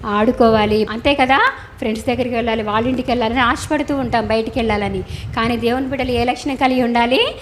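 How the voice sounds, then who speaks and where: A woman's voice: on the high side, fast. A woman Sunday school teacher giving children a moral lesson, instructing and asking questions as she talks with puppets. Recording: clear background noise, ambient sound.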